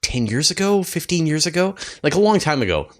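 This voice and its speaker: A man's voice: a little high, sing-song, brisk. A man hosting a casual interview, talking conversationally and repeating words and phrases for emphasis. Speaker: interviewer, host and science communicator.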